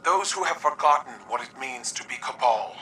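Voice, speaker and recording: Casting: proud alien war leader, very tense bright voice, solemn, formal, heard at a distance. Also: male voice, medium pitch, expressive, normal speed. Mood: grave, troubled. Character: proud, grave veteran alien warrior ruler. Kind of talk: dramatic video game acting.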